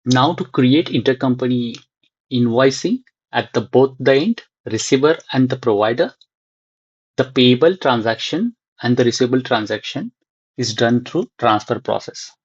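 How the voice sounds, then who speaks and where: Guy doing a screen share tutorial, bit raspy, thinking out loud in bits, muffled dry mic. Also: medium pitch, animated, dry recording. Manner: halting, thinking aloud in short fragments. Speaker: software tutorial instructor. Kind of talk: unscripted screen-share walkthrough.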